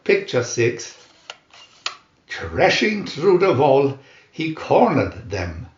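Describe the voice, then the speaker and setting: A man reading a scripted adventure story aloud in a dramatic, deliberate narrator's manner. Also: fairly high pitch, wildly swooping pitch, reverberant, dull audio. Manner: dramatic, deliberate comic-adventure reading with announced picture numbers. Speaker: narrator and reader.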